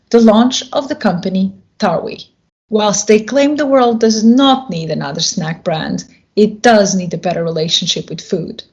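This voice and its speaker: A woman hosting a podcast, reading a scripted intro in a measured, earnest way, then asking interview questions. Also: mid-range voice, a little mellow. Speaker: podcast host. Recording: dull lo-fi sound with faint music.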